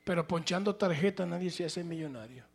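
A male pastor preaching a sermon, moving between plain conversational talk and loud, shouted passages. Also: on the high side, brisk, gravelly, husky.

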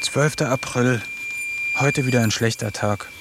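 A man's voice: medium pitch, a little slow, heavy background noise, close to an everyday voice. An actor narrating his diary in a radio drama as its lonely protagonist, in a wry, melancholic way.

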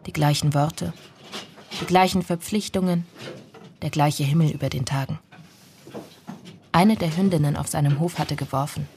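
An actress playing a melancholy narrator in a literary radio drama, telling of childhood friends in a measured, restrained way. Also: female voice, sits low, fairly even intonation, darkish tone, slight background noise. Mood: sober, nostalgic. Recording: music under the voice. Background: music.

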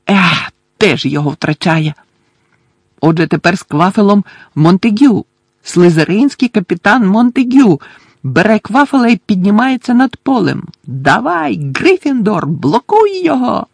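A man voicing an excited, openly partisan teenage boy commentating on a match in an audiobook, with urgent, shouted delivery. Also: high voice, no echo, studio quality, pitched above the performer's own voice, full character voice. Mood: urgent, pleading. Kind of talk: audiobook character voice.

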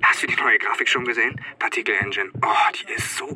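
A man's voice: lowish voice, fast talker, pushed and bright. A man playing a flippant, sarcastic geeky friend in naturalistic radio drama dialogue.

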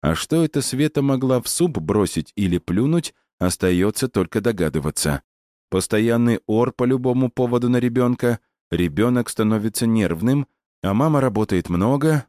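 A man reading as a bold boy in an audiobook who reasons things out and justifies himself. The voice is low for the part, with a dry, close sound. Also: expressive, moderate pace, a little mellow, no room sound, lightly acted. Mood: reasoning, confident. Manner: reasoning step by step.

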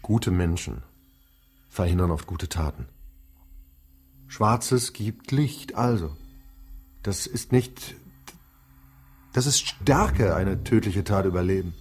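An actor playing a middle-aged industrialist father in a radio drama, a ruthless visionary who shifts between halting and declamatory talk. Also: low-pitched, lively intonation, a little mellow, slightly rough, soft background music, full character voice.

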